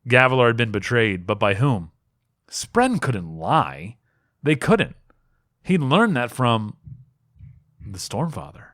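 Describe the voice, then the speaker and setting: A man reading a fantasy story aloud as dramatic audiobook narration, expressive and with deliberate pauses. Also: mid-range voice, no echo, studio quality. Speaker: amateur reader, a fan.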